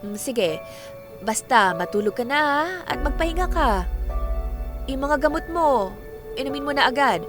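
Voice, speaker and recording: Lively, caring middle-aged friend. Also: female voice, sits high, moderate pace, long pauses. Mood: reassuring. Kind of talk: Filipino radio drama acting. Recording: background music under the voice.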